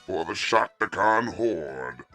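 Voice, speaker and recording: A man voicing a cold, lofty alien machine overlord in an audio comic, measured, pompous and commanding. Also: low voice, expressive, bright, forceful tone, soft background music, full character voice. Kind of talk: audio comic dub villain acting.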